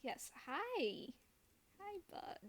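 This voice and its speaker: A woman's voice: very high-pitched, big pitch swings, very slow. A woman hosting a game stream, chatting casually about play in a playful, self-deprecating way. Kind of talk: casual live gameplay chat.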